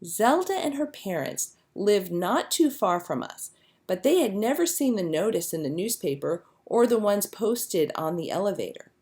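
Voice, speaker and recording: Expressive woman reading a storybook, lively pitch. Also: normal speed. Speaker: storybook reader. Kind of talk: scripted children's story narration, read aloud.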